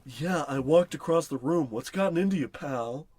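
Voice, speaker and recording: A man's voice: a little high, lively intonation, normal speed, a little mellow, breathy edge, dry recording. An actor playing a cocky, bossy salesman in a parody old-time radio comedy, patronizing and oblivious. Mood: dismissive, patronizing.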